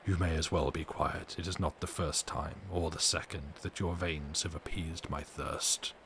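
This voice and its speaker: A man's voice: rumbling low, normal speed, pitched below the performer's own voice. A man voicing a coldly mocking male vampire in a keen, cutting half-whisper, as in a dramatic audiobook. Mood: mocking menace. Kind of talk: dramatic audiobook character reading. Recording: steady background noise.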